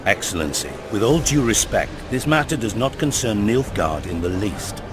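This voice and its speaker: A man playing a diplomatic mage in a video game, presiding over a council with measured, officious deference. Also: lowish voice, sing-song, noisy background. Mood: deferential, firm. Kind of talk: video game dramatic dialogue.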